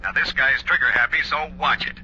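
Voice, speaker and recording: An actor playing a brisk, no-nonsense sheriff heard through a telephone filter in a 1950s radio drama. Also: male voice, medium pitch, steady tone, sharp tone, breathy edge. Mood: urgent. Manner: brisk, businesslike. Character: urgent, no-nonsense Western sheriff. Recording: tinny, muffled phone effect on old radio.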